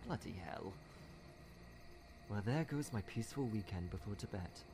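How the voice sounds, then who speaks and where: A man's voice: on the low side, animated, normal speed, creaky, noisy background. A man voicing a wry, world-weary woman adventurer in a comic dub, with a dry, sardonic delivery. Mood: resigned. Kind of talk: comic dub acting with reflective monologues.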